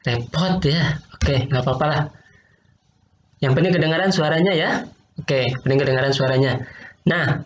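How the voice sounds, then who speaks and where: A male teacher, patiently explaining logic in an online class, repeating points and quizzing students. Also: fairly high pitch. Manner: patient, repeats points, asks quiz questions. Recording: roomy, dull lo-fi online class audio with faint noise.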